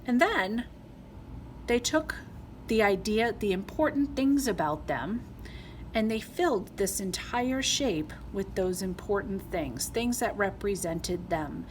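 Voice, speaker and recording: A middle-aged woman from Rhode Island, an art teacher explaining a lesson step by step in a gentle, patient way with frequent ums. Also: medium pitch, lively intonation, slow, slight background noise. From Rhode Island, USA.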